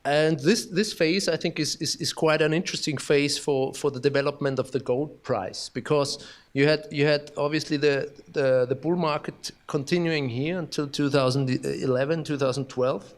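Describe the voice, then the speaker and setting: A man's voice: on the high side, fairly even intonation. Austrian-accented man giving a conference keynote. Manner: halting, many restarts and fillers, self-deprecating humor. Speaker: keynote speaker. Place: conference hall.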